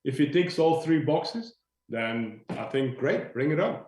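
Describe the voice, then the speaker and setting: A man talks as a panelist over a video call, weighing his words and slipping in light jokes. His voice sits at an ordinary middle pitch. The room around him rings with a noticeable echo. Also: lively intonation, measured pace, darkish tone. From Portugal. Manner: thoughtful, searching for words, lightly joking. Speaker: industry panelist. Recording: echoey room.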